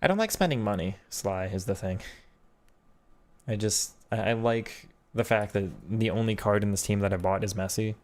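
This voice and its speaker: Male streamer, lower register, loose and chatty, talks through tactics off the cuff. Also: moderate pace. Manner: chatty, thinks aloud with frequent restarts. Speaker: streamer and game coach. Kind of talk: casual live-stream commentary reading chat.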